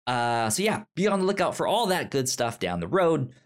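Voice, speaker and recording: A man's voice: a little high, sing-song, normal speed. A man hosting a podcast in casual conversation, often stuttering and repeating sounds and syllables as he talks. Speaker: podcast host.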